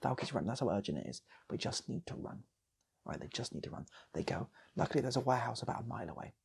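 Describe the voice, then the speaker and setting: A man improvising a comic story in a soft, breathy ASMR style, often repeating phrases and slipping into whispers. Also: medium pitch, very animated, fast. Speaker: storyteller, channel host.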